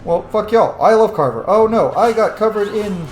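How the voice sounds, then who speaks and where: A man's voice: high-pitched, lively intonation, normal speed. A man hosting a video game let's-play with chatty, theatrical, sweary casual commentary. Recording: roomy echo.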